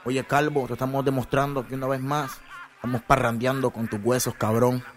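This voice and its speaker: A man in a recording booth giving loose shout-outs with laughing asides. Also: mid-range voice, flat delivery, fairly quick.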